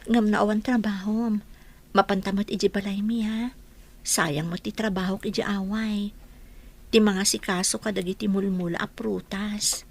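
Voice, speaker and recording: A woman playing a gentle, forgiving young wife in a radio drama. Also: medium pitch, steady tone, normal speed, gravelly. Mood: affectionate.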